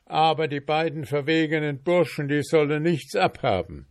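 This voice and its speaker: A man voicing a gruff, bearded old dwarf king in a storybook reading, stern and grudging. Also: a little high, moderate pace, dry recording, studio quality, voice pushed up, lightly acted.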